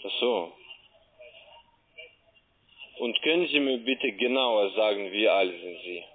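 A man speaking non-native German as a call centre agent, making a halting, repetitive sales pitch over the telephone. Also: animated. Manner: halting, repeats himself. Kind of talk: phone sales talk. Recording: muffled narrowband phone line.

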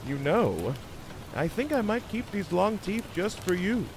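A man's voice: high voice, sing-song, measured pace, a little hiss or hum, full character voice. A man voicing a lovestruck, insecure young male cartoon beaver, speaking in a tender, sentimental way. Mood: tender, sleepy. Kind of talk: cartoon fan fiction audio reading.

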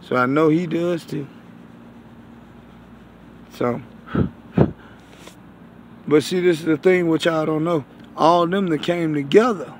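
Guy in his 30s preaching into his phone outside, gravelly voice, repeats himself, bit of noise behind. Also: on the high side. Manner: repetitive, halting, circling back over phrases. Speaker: online preacher, self-styled prophet. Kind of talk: rambling religious vlog to viewers. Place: outdoors beside a building. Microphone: phone at arm's length.

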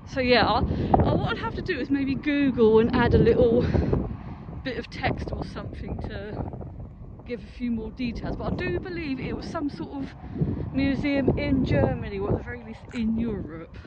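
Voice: mid-range voice, animated, moderate pace, muffled recording. A British vlogger giving a casual, hesitant, rambling commentary while walking, recorded outdoors on a handheld phone. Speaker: urban exploration vlogger. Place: open derelict site outdoors. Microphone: handheld phone, no headset. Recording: noisy windy outdoor phone audio.

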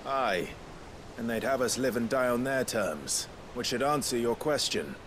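An actor playing an earnest, gruffly dry, stubborn young warrior hero in a video game cutscene. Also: male voice, medium pitch, lively intonation, unhurried, a bit bright, slight background noise. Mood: resolute. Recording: clear sea and ship ambience behind.

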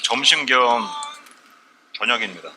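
A man with a medium-pitched voice narrates his camping trip in a casual, chatty way. He addresses viewers politely. The campsite recording carries clear background noise. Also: a little slow. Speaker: camping vlogger. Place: winter campsite, outdoors and in a tent. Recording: clear outdoor ambient noise.

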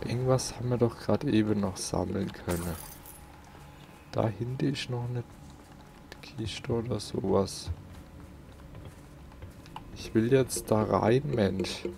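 A man comments casually on his game, muttering and grumbling to himself. His voice is low with a lot of fry, and game sound is clearly heard behind him. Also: slow, pauses often, darkish tone, slight background noise. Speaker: let's player.

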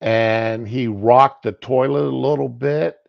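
Slow-talking man rambling on a call. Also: medium pitch, steady tone, gravelly, no echo. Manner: slow, rambling, many fillers. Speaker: co-host. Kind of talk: casual livestream chat. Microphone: video call. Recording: dull lo-fi call audio.

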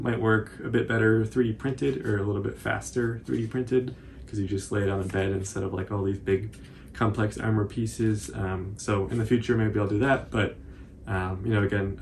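Young man co-hosting a how-to, lowish voice with vocal fry, easygoing hesitant wording, slight background noise. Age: young adult. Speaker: cosplay tutorial co-host. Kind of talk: casual explanatory chat. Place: small furnished room indoors, on a couch.

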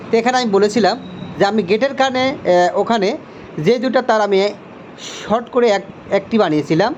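A man's voice: sits high, slight background noise. A man with a Bangladeshi accent giving a hands-on tutorial as an electrician, explaining step by step and repeating himself as he points things out.

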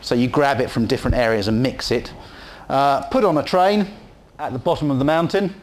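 A man's voice: mid-range voice, fairly quick. A man from England with a West Country accent, giving a rambling, chatty conference presentation in a hall. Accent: West Country English. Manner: chatty and rambling, with frequent ums and asides. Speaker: conference speaker.

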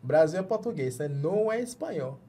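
Jamaican man speaking halting Portuguese. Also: fairly high pitch, animated, mellow, slightly rough. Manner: halting, restarts words while searching in Portuguese. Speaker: guest, journalist and author. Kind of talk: casual interview talk. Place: small studio with foam-padded walls.

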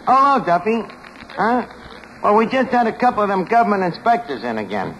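A man playing a scheming, flattering New York tavern manager with a New York accent and mangled slang in a 1940s radio comedy. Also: high voice, brisk, exaggerated voice. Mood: chatty, wry. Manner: ungrammatical slang with misused fancy words. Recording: noisy old radio broadcast, studio audience. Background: loud studio audience.

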